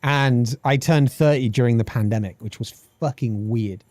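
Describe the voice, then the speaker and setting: A man's voice: medium pitch, moderate pace, no echo. A British man in his thirties chatting casually on a game live stream, swearing freely and reading chat aloud. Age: 30s. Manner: animated, swearing, reads chat aloud and laughs often.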